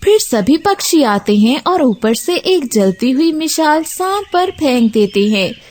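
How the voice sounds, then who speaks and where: A woman's voice: high-pitched, big pitch swings, unhurried, a little mellow, a little hiss or hum. A woman narrating a scripted children's cartoon story.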